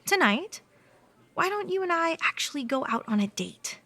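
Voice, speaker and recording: Woman acting lively, teasing young waitress. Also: extremely high pitch, moderate pace, exaggerated voice. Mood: earnest. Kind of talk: comedic radio play acting.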